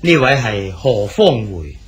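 A man with a Cantonese accent, playing an official hosting an old friend in a radio drama, speaking in a slow, sighing way. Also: fairly low pitch, very animated, slightly rough, full character voice.

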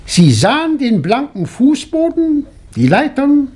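An actor playing an inquisitive doctor and amateur detective, asking probing questions in a 1960s German radio crime drama. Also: male voice, very high voice, wildly swooping pitch, measured pace, a little mellow, exaggerated voice. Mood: probing, assured.

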